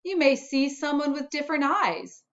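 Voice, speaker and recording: A woman's voice: high-pitched, sing-song, moderate pace, fairly bright tone, lo-fi sound, voice pushed up, full character voice. A woman voicing a friendly, encouraging little spot character from a children's storybook. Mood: upbeat, encouraging.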